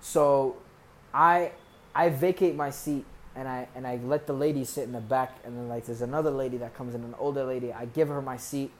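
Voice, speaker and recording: A young American man, a podcast guest, casually telling animated stories with jokes and laughs. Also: mid-range voice, a little fast. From United States. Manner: animated, joking, laughs through his stories. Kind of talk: casual conversational storytelling.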